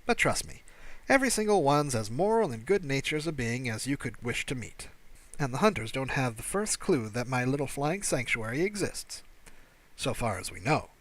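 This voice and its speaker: A man's voice: medium pitch, no room sound, lightly acted. Guy doing a kindly alien rescuer for an audiobook, dry teasing humour, breezy, close dry sound. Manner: breezy, dryly teasing, persuasive. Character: wry, kindly male alien rescuer with secret amusement. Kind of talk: audiobook character dialogue.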